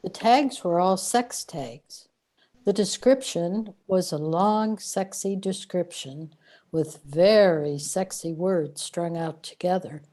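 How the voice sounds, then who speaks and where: Female actor, warm dark tone: unruffled, matter-of-fact afterlife guide, sci-fi comedy audio play. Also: fairly low pitch, slow pace.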